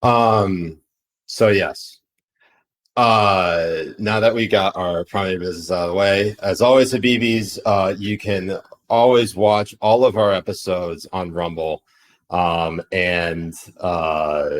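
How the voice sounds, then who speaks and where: A man's voice: sits low, measured pace. A man with a Pakistani accent co-hosting a live political chat show, rambling with many fillers. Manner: rambling, filler-heavy, laughs easily. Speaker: podcast co-host.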